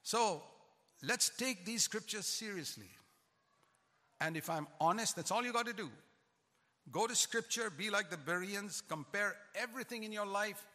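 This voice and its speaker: A man's voice: fairly high pitch, bright, forceful tone, long reverb tail. A man who is a pastor, preaching a sermon with emphatic, repetitive cadence.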